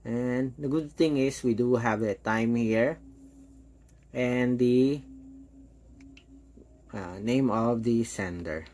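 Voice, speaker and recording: A man giving a casual, hands-on product demo, talking step by step in short, halting phrases. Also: medium pitch, a little mellow. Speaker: product reviewer.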